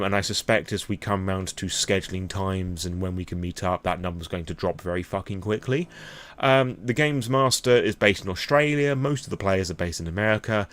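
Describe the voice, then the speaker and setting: A man with a British accent and a fairly low voice talks in an unscripted vlog style. He is candid and informal, wandering through asides with self-deprecating remarks and casual swearing. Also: a bit bright. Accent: British English. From England. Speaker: YouTube vlogger on tabletop roleplaying games. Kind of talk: impromptu casual vlog talk.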